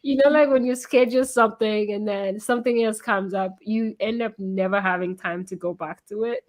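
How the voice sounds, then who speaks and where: Hoarse, giggly woman hosting a live stream. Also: medium pitch, fairly even intonation, normal speed. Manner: giggly, chatty, many fillers. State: hoarse from illness. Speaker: interview host. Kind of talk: casual live-stream chat. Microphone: computer mic on a video call.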